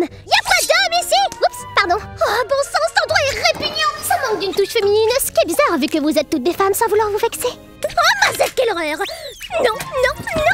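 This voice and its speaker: A woman voicing a tiny, excitable, chattering demon girl in a cartoon fan dub. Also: very high voice. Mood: cheerful, curious. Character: tiny hyperactive cheerful girlish demon, fussy about mess.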